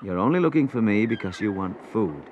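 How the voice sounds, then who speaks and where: A man playing a calm, assured religious teacher in a story reading. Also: lowish voice, fairly quick, highs cut off, noisy background, lightly acted. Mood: calm, earnest.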